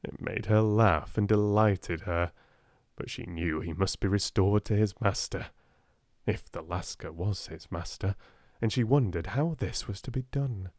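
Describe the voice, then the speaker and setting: A man with a British accent reading a storybook aloud in a soft-spoken, gentle, expressive way. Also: low voice, measured pace, no echo, clean studio sound. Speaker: audiobook narrator.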